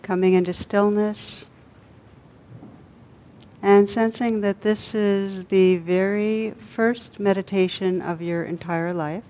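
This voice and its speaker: A woman guiding a meditation as a teacher, speaking gently and unhurriedly in short phrases with long pauses. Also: steady tone. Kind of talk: guided meditation. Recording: dull muffled recording with faint rumbling noise.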